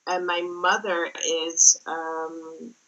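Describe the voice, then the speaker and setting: Bright-voiced young woman, thoughtful. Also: deep voice, level tone, extremely slow, muffled recording. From Netherlands. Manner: thoughtful, many fillers and restarts. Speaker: interview guest, entrepreneur. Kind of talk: casual interview talk.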